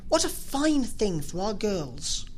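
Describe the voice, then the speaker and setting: A woman voicing a fussy, excitable middle-aged mother who gushes, complains and pities herself in a storybook reading. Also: medium pitch, slow, slight background noise. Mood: gushing. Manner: gushing, exclamatory, complaining.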